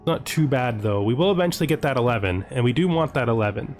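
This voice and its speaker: A man giving casual video game commentary, thinking aloud as he deliberates his choices. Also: medium pitch, lively intonation, a little mellow. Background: music.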